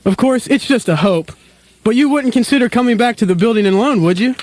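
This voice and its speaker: A man playing a gentle, earnest ageing father in a parody radio play. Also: extremely high pitch, fairly quick, a little hiss or hum.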